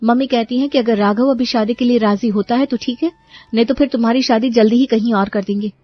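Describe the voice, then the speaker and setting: A woman's voice: on the high side, steady tone, quick pace, muffled recording, lightly acted. A woman playing an earnest young girlfriend in a cartoon story, pressing for marriage. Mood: earnest, worried. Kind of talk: Hindi cartoon story voice acting.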